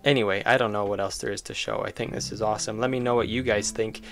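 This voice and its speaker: Man, low gravelly voice, casual game developer demo, informal, thinking aloud, music behind. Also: steady tone, fast, fairly bright tone. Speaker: game developer presenting own project. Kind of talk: casual screen-recorded demo commentary.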